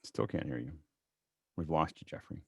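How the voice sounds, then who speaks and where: A man's voice: rumbling low, expressive, moderate pace, vocal fry, darkish tone, slightly rough, no room sound, studio quality. A man in his fifties hosting a video call panel with short, casual handovers. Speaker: host, moderator.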